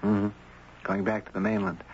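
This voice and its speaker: An actor playing a gentle, earnest man who narrates and talks tenderly in a 1970s radio drama. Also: fairly low pitch, flat delivery, normal speed, close to an everyday voice. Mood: subdued. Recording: dull, noisy 1970s radio broadcast.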